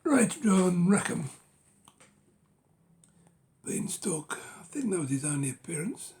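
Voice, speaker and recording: A man with a hoarse, gravelly voice that keeps dropping to a half-whisper, musing unhurriedly over his book collection with many ums and rights. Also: sits high, very animated, takes it slowly, a little mellow. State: losing his voice. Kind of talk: casual collection walkthrough.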